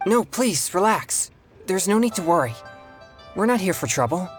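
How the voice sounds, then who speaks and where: An actor playing an earnest young exorcist in a video game, speaking politely and formally with hesitant pauses. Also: male voice, high voice, very animated, soft background music. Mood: reassuring. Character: earnest young male exorcist, excitable yet self-controlled.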